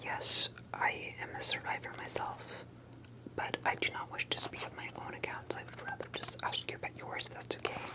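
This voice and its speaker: ASMR interviewer role: soft breathy whisper throughout, earnest, careful researcher asking slow, deliberate questions. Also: rough recording. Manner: hushed, deliberate, halting questioning. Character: earnest, cautious alien abduction researcher and survivor. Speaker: ASMR performer playing an interviewer.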